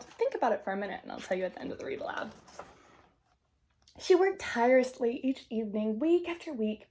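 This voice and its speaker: A woman reads a children's storybook aloud. Her voice sits a little high, and she takes her time, gentle and expressive, slipping between narration and casual comments for her young listeners. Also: creaky. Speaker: storybook reader. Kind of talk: picture book read-aloud with casual asides to young listeners.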